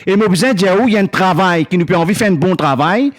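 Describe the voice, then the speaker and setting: High-voiced man making an earnest announcement. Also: animated, quick pace. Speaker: project organizer announcing a launch. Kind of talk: spontaneous announcement in creole-tinged French.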